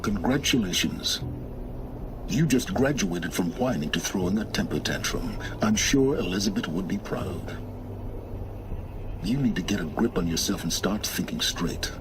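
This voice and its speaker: An actor playing a secretive middle-aged scholar in a video game cutscene, speaking in a measured, condescending and impatient way. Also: male voice, deep voice, lively intonation, background noise. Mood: condescending, curt. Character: secretive, condescending older male lone scholar and wanderer.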